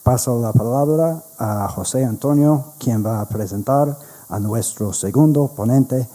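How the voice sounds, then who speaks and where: An American man whose first language is English reads a prepared introduction at a conference. He takes it slowly, with a very dark, mellow voice. Now and then he stumbles and restarts a word. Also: mid-range voice. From United States. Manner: slow, careful reading with some restarts. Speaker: presenter at a history conference.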